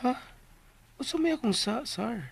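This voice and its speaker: An actor playing a cheeky, simple-minded, meddling household helper with a Cebuano accent and a raspy voice in a comic radio drama. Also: mid-range voice, expressive, unhurried, dry recording, heavily acted. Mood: sheepish.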